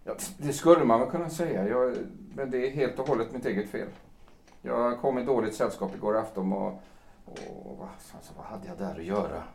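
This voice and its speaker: An actor playing a melancholy, self-pitying writer of fifty in an audio drama, speaking haltingly and broodingly. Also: male voice, mid-range voice, creaky, darkish tone, close to an everyday voice. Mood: dejected, evasive. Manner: halting, brooding delivery with repeated words. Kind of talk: stage-play audio drama acting. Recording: roomy, echoing sound.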